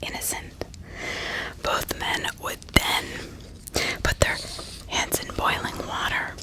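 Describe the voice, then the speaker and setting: A woman narrating true crime as an ASMR host in a breathy whisper, pausing often mid-sentence and adding small asides to the listener. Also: low-quality recording.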